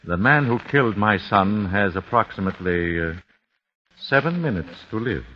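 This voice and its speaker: A man's voice: on the low side, expressive, moderate pace, close to an everyday voice. An actor playing a grim father avenging his son, formal and icily polite, in 1940s radio acting. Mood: cold, menacing. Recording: dull lo-fi old radio recording with faint hiss.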